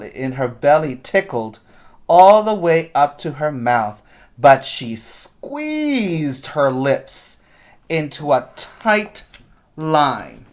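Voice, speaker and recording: Slow-paced preschool teacher reading aloud. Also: medium pitch. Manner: deliberate, simple phrasing with repetition for emphasis. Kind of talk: storybook read-aloud with explanations for young children.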